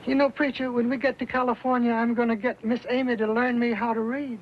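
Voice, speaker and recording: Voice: medium pitch, level tone, quick pace, slight background noise, lightly acted. A performer playing an eager, trusting frontier boy with a rural American accent in an old Western. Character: eager, trusting frontier boy who admires grown-ups. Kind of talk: Western film acting. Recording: muffled old film soundtrack, faint music.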